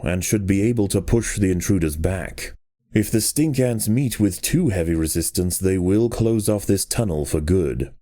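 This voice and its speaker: A man reading scripted nature documentary narration for a game in a measured, grave storytelling manner. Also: low voice, mellow.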